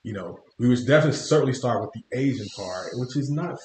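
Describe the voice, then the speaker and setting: A man's voice: mid-range voice, lively intonation, quick pace, a little mellow, echoey room, slight background noise. A man hosting a podcast interview in a friendly, casual way, leaning on "you know" as he talks. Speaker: podcast host. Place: podcast studio.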